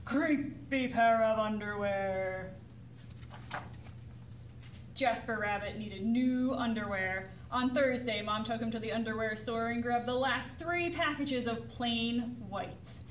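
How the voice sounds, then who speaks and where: A woman reading a picture book aloud to children as a librarian, with dramatic, suspenseful delivery. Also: on the high side. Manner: expressive, suspenseful pauses and sudden exclamations. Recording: roomy echo, distant mic, faint noise.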